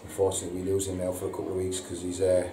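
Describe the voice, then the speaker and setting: Low flat-voiced football manager. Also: male voice, music in the background. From England. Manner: halting, repeats words, frequent ums. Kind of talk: post-match interview. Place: indoor press room before a sponsor board. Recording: echoey room, distant mic, audible hiss.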